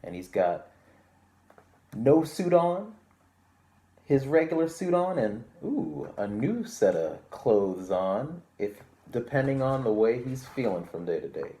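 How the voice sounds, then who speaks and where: A man presents a picture book for children at an unhurried pace. His pitch moves widely as he reads, with a genteel, theatrical manner and little asides to his young listeners. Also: sing-song, warm tone. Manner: polite, genteel, theatrical delivery. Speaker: library storytime presenter.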